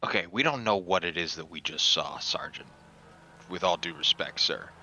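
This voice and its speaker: A man's voice: low voice, normal speed, pushed and bright, slight background noise, poor audio. A man playing a tabletop game, brash and combative, arguing in character and joking. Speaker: player.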